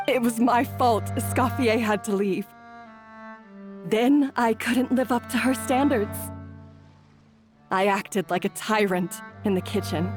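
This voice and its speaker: An actress playing a remorseful, self-doubting head chef in a video game, speaking earnestly and hesitantly. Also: female voice, on the high side.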